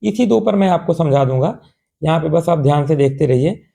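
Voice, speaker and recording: A male tutor, hoarse from a cold, explains a math problem step by step. He coaxes his students along, asks rhetorical questions and checks in often. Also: a little high, animated, fairly quick, warm tone. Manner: calls students "babu" in a patient, coaxing tone.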